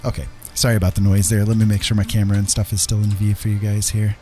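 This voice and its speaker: Male game streamer, middle-aged, low and very relaxed tone, friendly, rambling self-talk, faint music underneath. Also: fast talker. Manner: friendly, rambling, often talking to himself. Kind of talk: casual live gameplay commentary.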